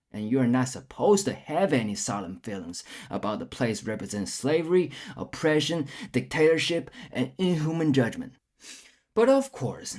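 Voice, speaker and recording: A young man from China delivering a casual, blunt, profanity-laced opinion monologue with a sarcastic edge. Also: mid-range voice. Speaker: storyteller vlogger.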